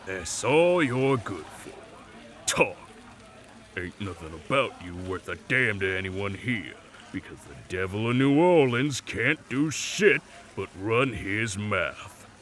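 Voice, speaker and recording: A man playing a brash, combative loudmouth with a defiant drawl in an audio drama. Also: on the low side, lively intonation, slow pace. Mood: taunting. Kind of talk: audio roleplay drama acting.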